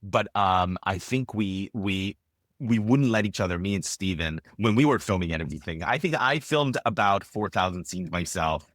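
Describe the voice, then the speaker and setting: A man's voice: sits low, normal speed, a bit bright, dry recording, clean studio sound. A man, chatting as a podcast guest and rambling with many fillers and restarts. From Israel. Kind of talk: casual interview chat.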